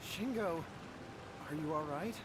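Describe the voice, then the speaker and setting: A man's voice: on the high side, a little slow, close to an everyday voice. A man as an anxious younger brother, noisy stream. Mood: concerned. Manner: earnest, worried, explaining himself. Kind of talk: video game character acting. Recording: game stream with loud background noise.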